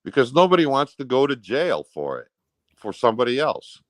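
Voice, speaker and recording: A man's voice: medium pitch, sing-song, brisk, dry recording, studio quality. An American man in casual conversation, talking in a blunt, rambling way. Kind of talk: casual chat.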